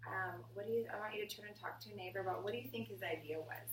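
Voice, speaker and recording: A woman teacher reading a picture book aloud to her class in a deliberate storytelling way and prompting pupils with questions. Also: mid-range voice. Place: indoor classroom. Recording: roomy classroom, distant mic, faint noise.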